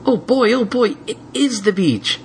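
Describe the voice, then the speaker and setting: Man voicing a whiny, high-pitched baby boy dragon. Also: lively intonation, measured pace, slight background noise. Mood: excited, whiny. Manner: pleading, indignant, whining. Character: whiny excitable ten-year-old male baby dragon. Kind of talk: fan fiction audiobook character voice.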